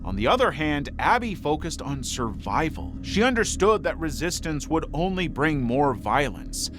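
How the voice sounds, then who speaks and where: A man's voice: a little high, very animated, normal speed. A man reading scripted documentary narration in a dramatic storytelling manner. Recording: voice over prominent background music. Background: music.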